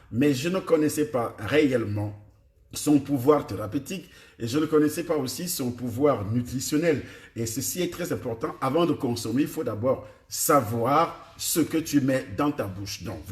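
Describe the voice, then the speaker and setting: A man with a Cameroonian French accent whose first language is Ewondo, a preacher teaching his congregation about food and health, repeating himself and questioning his listeners directly. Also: medium pitch. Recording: echoey room.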